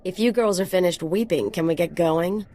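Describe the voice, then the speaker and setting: An actress playing an irreverent mercenary sidekick in a video game, with a sardonic, mocking delivery. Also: female voice, lowish voice, normal speed, dark tone, natural voice. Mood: mocking, impatient.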